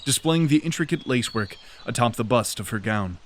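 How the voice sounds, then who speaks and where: A man narrating a fantasy audio drama in a measured, dramatic way. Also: medium pitch, expressive, slight background noise.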